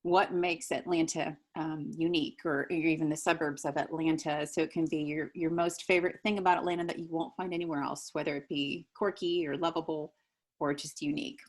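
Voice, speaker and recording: A woman hosting an interview, upbeat and encouraging, with quick replies. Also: deep voice, fairly even intonation. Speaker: interview host. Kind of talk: casual interview.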